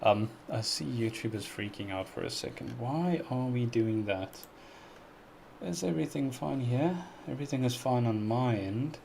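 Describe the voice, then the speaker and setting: A man's voice: medium pitch, lively intonation, normal speed, creaky, a little mellow, a little hiss or hum. A South African man hosting a casual live-stream Q&A, chatty and earnest, who laughs often and pronounces his words very carefully. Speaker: music reaction YouTuber hosting a live stream.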